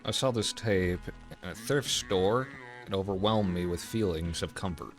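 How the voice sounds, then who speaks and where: A man's voice: low-pitched, moderate pace, faint music behind. A man hosting a casual reaction, commenting analytically and explaining what he notices.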